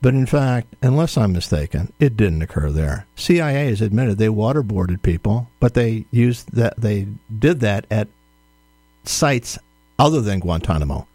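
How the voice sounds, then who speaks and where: A man being interviewed as an author, explaining his points in a measured way and checking in with the listener. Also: on the low side, expressive, warm tone, dry recording. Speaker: guest author. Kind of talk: interview answers.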